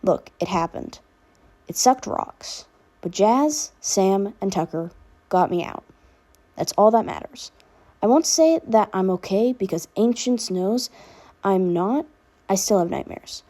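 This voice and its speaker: A woman's voice: mid-range voice, animated, unhurried, long pauses, warm tone. A woman voicing a protective, teasing older brother in a casual, firm way for a fan fiction reading. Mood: gentle, firm.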